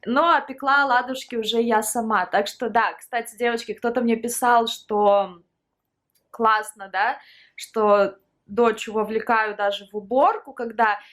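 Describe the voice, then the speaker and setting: A woman's voice: a little high, steady tone, measured pace, fairly bright tone. A woman in her thirties chatting casually in a home vlog, rambling from topic to topic and laughing often. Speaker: vlogger. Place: at home, indoors.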